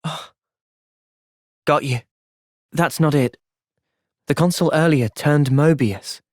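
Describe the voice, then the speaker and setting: A young man playing a thoughtful, earnest young soldier in video game cutscenes, speaking in a gentle, reflective manner. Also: fairly high pitch, slow, no room sound, studio quality. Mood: calm, reflective.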